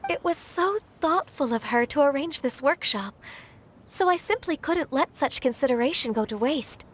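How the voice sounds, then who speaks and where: Game heroine: polite, soft-spoken, high-pitched young woman, modest and a little hesitant. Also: expressive, moderate pace. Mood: earnest. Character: gentle, modest, dutiful young woman, drowsy office worker.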